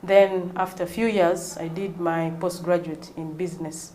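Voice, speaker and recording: Low-voiced Ugandan woman with background noise. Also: fairly even intonation, normal speed, warm tone, low-quality recording. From northwestern Uganda. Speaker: interview guest, non-profit executive director. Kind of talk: spoken interview answers.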